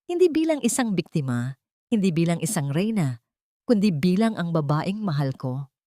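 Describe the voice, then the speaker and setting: A woman voicing a devoted, tender man in love, softly spoken, in an audio drama love story. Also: fairly low pitch, very animated, normal speed, no echo, clean studio sound. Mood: hopeful, tender. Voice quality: soft. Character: devoted, tender male lover, powerful man turned gentle.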